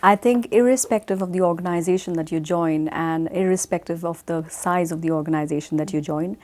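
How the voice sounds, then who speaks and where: A middle-aged woman from India answering interview questions in a measured way, often pausing with fillers. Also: fairly low pitch, darkish tone. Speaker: guest.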